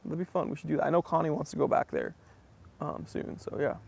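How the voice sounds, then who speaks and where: Gravelly-voiced man hosting a casual Q&A. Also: a little high, quick pace, a little mellow. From New England, US. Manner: reads viewer comments aloud, answers in a friendly easygoing way. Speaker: host of a watch channel. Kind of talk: casual livestream Q&A.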